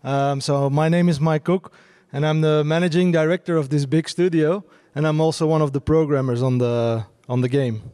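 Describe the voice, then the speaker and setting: A man's voice: a little high, fairly even intonation, moderate pace, dark tone, clean tone. A man with a Belgian accent, a studio managing director introducing himself to a conference audience. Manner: friendly self-introduction. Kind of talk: stage introduction.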